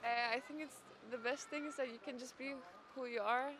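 A young woman with a slightly high voice answers casually on a street at night. She stands a bit back from the mic, and clear street noise surrounds her. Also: fairly even intonation, brisk. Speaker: passer-by interviewee. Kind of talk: street vox pop. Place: outdoors on a city street at night.

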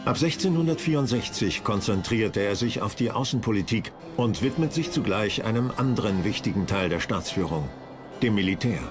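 A man reading scripted narration as the narrator of a history documentary. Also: fairly low pitch.

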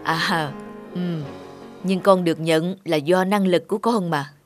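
A woman voicing a gentle, repentant older father in an animated drama, pleading softly and earnestly. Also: fairly low pitch, unhurried, music in the background, close to an everyday voice.

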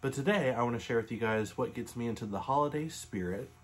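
A man's voice: medium pitch, quick pace. Young man reading a storybook aloud. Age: late 20s. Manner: gentle, casual storytelling. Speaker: storybook reader. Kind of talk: reading a Christmas poem aloud. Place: living room couch, indoors. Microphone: webcam facing him at close range.

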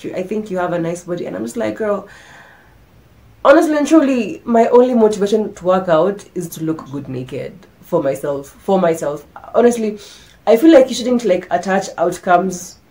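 A woman's voice: medium pitch, a little mellow. A young woman chatting candidly to camera in her bedroom as a vlogger, sick and stuffy, losing her voice, restarting her sentences often. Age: young adult. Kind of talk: casual chat to camera. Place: bedroom, indoors. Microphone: camera in front, medium framing.

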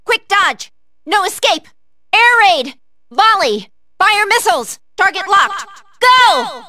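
A woman's voice: very high-pitched, very animated, slow, bright, forceful tone, full character voice. A woman playing an earnest, polite young female android fighter in a video game, calling out clipped, formal battle commands. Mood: battle-focused. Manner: clipped, formal machine-like status callouts.

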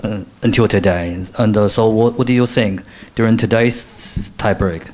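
A man's voice: fairly low pitch, moderate pace, a little mellow. A male reporter asking a hesitant question at a press conference, with "uh" fillers.

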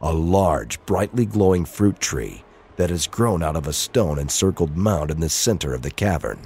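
A man's voice: low voice, lively intonation, moderate pace, a little mellow, breathy edge. A man reading scripted dramatic narration as the narrator of an audio drama.

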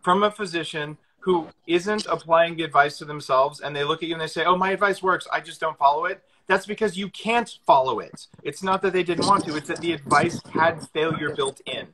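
A man's voice: on the high side, brisk, hardly any pauses, bright, forceful tone, a little hiss or hum, low-quality recording. A man in his forties hosting a casual live video chat from his kitchen, talking enthusiastically into a phone held at arm's length. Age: 40s. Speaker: host. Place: indoor kitchen with high ceiling. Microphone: phone front camera at arm's length.

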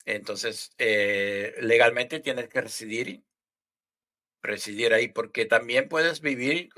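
Older Puerto Rican man, bright voice. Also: mid-range voice. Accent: Puerto Rican Spanish. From Puerto Rico. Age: 60s. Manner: halting, repeats words while explaining. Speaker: guest. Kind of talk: casual interview answers. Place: home room, indoors. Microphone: headset mic on video call.

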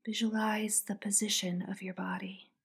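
A woman softly narrating a guided meditation in a soothing, breathy manner. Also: medium pitch, little pitch movement, normal speed. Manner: soft, breathy, soothing delivery.

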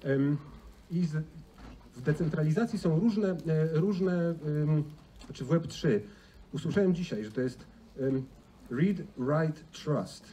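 Mellow-voiced man presenting on stage. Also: a little high, takes it slowly. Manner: conversational, frequent rhetorical tag questions. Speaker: conference speaker. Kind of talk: informal conference presentation. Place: large indoor auditorium stage. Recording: distant, noisy stage sound in a hall.

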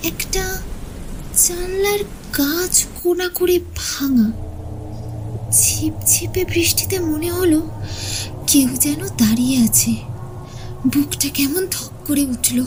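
A woman reading a scripted horror story as narrator, expressive and suspenseful, sometimes letting phrases fall to a hush. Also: sits high. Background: background music.

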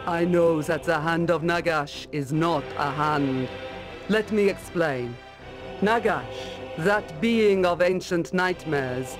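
A grave, regal sovereign from a fantasy game, speaking in a high register. The delivery is slow and solemn, each phrase measured like an old legend being told, over prominent music. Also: male voice. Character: grave, regal sovereign of a cold northern empire. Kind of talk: fantasy video game cutscene acting. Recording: loud music under the voice.

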